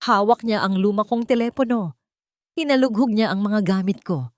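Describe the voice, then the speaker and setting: A woman's voice: mid-range voice, moderate pace, darkish tone, no echo, clean studio sound, pitched above the performer's own voice, natural voice. A woman voicing a scheming, tearful fiancée in an audio love drama, melodramatic and accusing.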